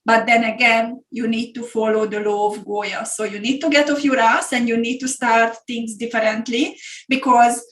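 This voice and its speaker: Woman, Hungarian accent, middle-aged, business coach, earnest, encouraging, somewhat high voice, echoey room. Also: steady tone, moderate pace, fairly bright tone. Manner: earnest, encouraging, with frequent fillers and non-native grammar. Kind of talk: webinar masterclass presentation.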